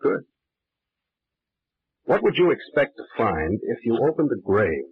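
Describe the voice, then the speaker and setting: A muffled vintage radio recording of a shrewd young male investigator. He is husky and terse, questioning and giving orders with dry sarcasm, in old-time mystery drama style. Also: mid-range voice, huge pitch swings, unhurried, heavily acted. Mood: probing, dry. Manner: terse, probing, dryly sardonic.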